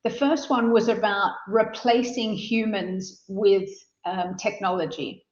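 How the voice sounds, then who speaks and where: A woman's voice: medium pitch, fairly even intonation, takes it slowly. Woman, Australian accent, middle-aged, webinar panelist, filler words, muffled, echoey. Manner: fluent, with frequent fillers and repeated words. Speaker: advisory leader, webinar panelist. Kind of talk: webinar presentation. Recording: muffled audio, roomy echo.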